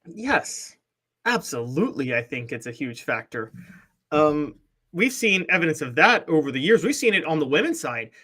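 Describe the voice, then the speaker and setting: Bright opinionated man in his thirties. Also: fairly high pitch, very animated, normal speed. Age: 30s. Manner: opinionated, analytical, explains at length. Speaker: sports talk co-host. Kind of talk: casual sports talk.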